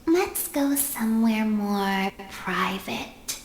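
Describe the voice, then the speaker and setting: A woman's voice: mid-range voice, animated, extremely slow. A woman voicing a playfully sinister, hungry animatronic bird in a horror game, slipping toward whispers. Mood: menacing, teasing. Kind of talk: video game horror acting. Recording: echoey, faint noise.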